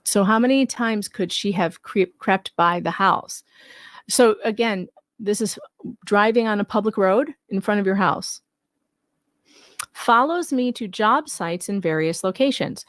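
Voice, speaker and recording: A woman's voice: mid-range voice, animated, unhurried, no echo. A woman hosting a live commentary stream on a legal case, casual but argumentative and skeptical, repeating points for emphasis. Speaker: livestream commentator and video host. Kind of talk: casual live commentary on a legal case, reading claims aloud and rebutting them.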